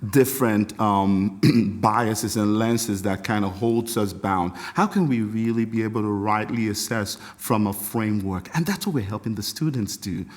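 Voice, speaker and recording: A middle-aged Nigerian man with a fairly low voice talks as a podcast guest. His Nigerian accent comes through as he tells stories in a warm, animated way. He laughs often and restarts phrases as his thoughts run ahead. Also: measured pace, hardly any pauses. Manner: reflective, animated storyteller with frequent laughs and restarts. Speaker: podcast guest, music educator. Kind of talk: casual interview talk.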